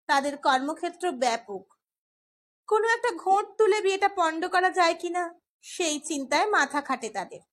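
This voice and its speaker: A woman reading a novel aloud as a storyteller, expressive in her scripted narration. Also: extremely high pitch. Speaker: audiobook narrator.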